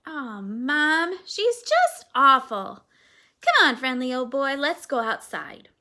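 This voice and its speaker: A woman's voice: high voice, very animated, slow, voice pushed up, heavily acted. A woman voicing an eager, cheerful young boy in a read-aloud storybook. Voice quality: bright and eager.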